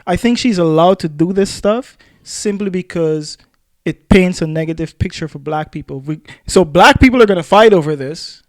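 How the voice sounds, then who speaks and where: A man's voice: high voice, moderate pace, no room sound. A man co-hosting a casual opinion discussion, repeating phrases as he argues his point. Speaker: podcast co-host.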